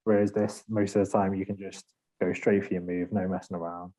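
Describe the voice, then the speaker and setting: Mellow man, rambling gamer chat. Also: sits low, little pitch movement, a little fast. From Liverpool, England. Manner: rambling, laughs a lot, self-deprecating. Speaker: podcast panelist. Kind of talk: casual gaming chat.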